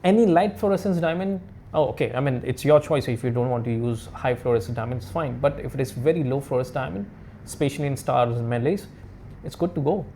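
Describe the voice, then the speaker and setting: Gravelly-voiced man explaining as a guest expert. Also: medium pitch, dark tone, a little hiss or hum. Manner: halting, repetitive, non-native English phrasing. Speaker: diamond expert, interview guest. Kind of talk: casual expert explanation in interview.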